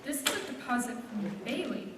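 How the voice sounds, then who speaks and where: Heard from a distance in a long, echoing hall, a woman acts a blunt female official in a radio play. Her voice is fairly high, firm and confrontational. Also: expressive, moderate pace, lightly acted. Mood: inquiring. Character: blunt, principled female state bank examiner. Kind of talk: stage radio play acting. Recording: reverberant hall, distant mic, faint noise.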